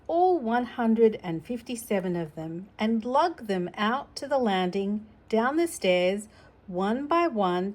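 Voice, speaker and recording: Slow-paced woman reading a children's storybook. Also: medium pitch. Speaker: storytime reader. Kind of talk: reading a children's picture book aloud with chatty asides to a young child.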